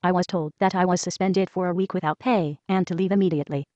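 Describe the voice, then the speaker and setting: A woman reading a first-person letter aloud in a brisk, even manner. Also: fairly low pitch, dry recording. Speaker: reader of a whistleblower letter. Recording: dull lo-fi voice track.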